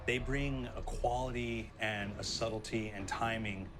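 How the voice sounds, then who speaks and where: A man's voice: mid-range voice, steady tone, unhurried, noisy background. A man talking as a game developer in a behind-the-scenes interview, conversational and reflective. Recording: clear music bed behind.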